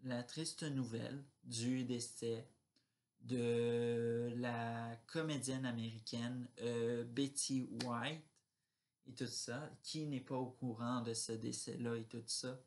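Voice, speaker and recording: Slow, halting man. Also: mid-range voice, flat delivery, darkish tone. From Quebec. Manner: halting, searching for words, trailing off. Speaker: vlogger. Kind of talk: casual rambling vlog chat.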